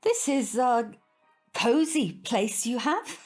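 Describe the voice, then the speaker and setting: A fussy older neighbour in an audio drama. Her voice is slightly rough and very expressive, rising and falling as she speaks. She is prim, proper and snobbish, yet keeps up her manners. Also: on the high side, slow pace, soft background music, exaggerated voice.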